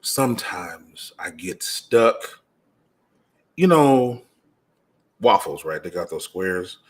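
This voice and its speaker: A man's voice: low-pitched, lively intonation, takes it slowly. A man co-hosting a casual chat with his wife, joking and teasing. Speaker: co-host.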